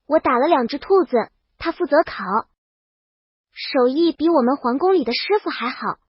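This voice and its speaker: A woman's voice: high-pitched, animated, normal speed, no echo, dull audio. A woman reading scripted first-person audiobook narration as the storyteller.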